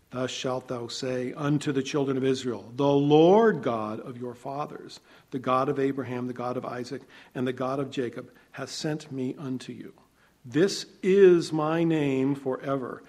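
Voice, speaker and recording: A man preaching a sermon, reading scripture aloud and explaining it conversationally, shifting between loud emphasis and quiet asides. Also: medium pitch. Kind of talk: sermon, Bible teaching.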